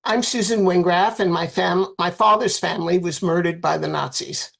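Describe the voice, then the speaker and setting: A woman giving a plain, steady dedication at a remembrance ceremony. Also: on the low side, normal speed, gravelly. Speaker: candle lighter at a remembrance ceremony.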